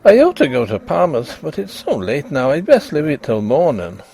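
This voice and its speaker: A man voicing a blunt, rational young doctor in a ghost story, brisk, emphatic and colloquial. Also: fairly high pitch, wildly swooping pitch, gravelly, dark tone, voice pushed up, full character voice. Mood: businesslike, agreeable.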